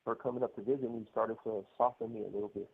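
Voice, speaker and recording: A man's voice: mid-range voice, fairly even intonation, quick pace, husky. An American man calling from prison, telling his own story candidly over the phone. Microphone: telephone. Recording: muffled narrow-band prison phone line.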